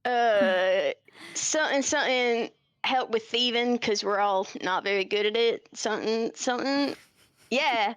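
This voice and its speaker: A woman voicing a chatty, sly clawed rogue in a tabletop game, halting and evasive as she talks. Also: on the high side, fairly bright tone, clear, resonant tone, dry recording. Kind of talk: in-character tabletop roleplay.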